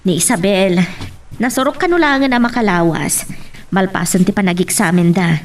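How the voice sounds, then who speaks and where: A woman's voice: medium pitch, normal speed, natural voice. A woman acting a middle-aged, practical, fussing village mother in a family radio drama, with an Ilocano accent. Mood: concerned. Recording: distant mic, faint background noise.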